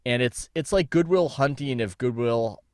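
A man's voice: mid-range voice, moderate pace, dry recording, clean studio sound. A man co-hosting a podcast, chatting casually with wry, joking asides. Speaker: podcast co-host. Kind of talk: casual comedic chat.

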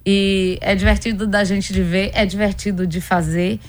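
A woman's voice: medium pitch, flat delivery, moderate pace. A woman doctor from Pernambuco with a Northeastern Brazilian accent, explaining things conversationally with everyday examples as an interview guest.

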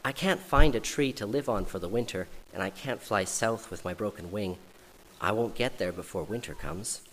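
A man's voice: fairly low pitch, normal speed, a bit bright, natural voice. A small, lonely bird with a broken wing pleads politely and hopefully for a place to stay, voiced by a man reading a story aloud. The voice is lifted, and the room answers with a long hall echo and a faint hum of noise. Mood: pleading.

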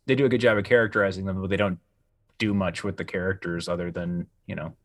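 A man's voice: deep voice, steady tone, fast talker, a bit bright, no room sound, studio quality. A man co-hosting a podcast, chatting casually and often hesitating as he rephrases his thoughts. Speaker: podcast co-host.

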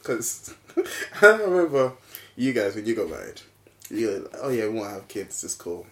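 A man in his late twenties chatting casually on a podcast, animated and quick to laugh. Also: fairly low pitch. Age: 20s. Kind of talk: casual chat. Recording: echoey room with faint noise.

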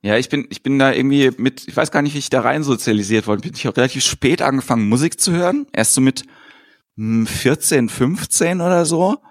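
A man hosting a casual podcast, telling stories in a relaxed way with dry humor. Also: medium pitch, fairly quick, no room sound.

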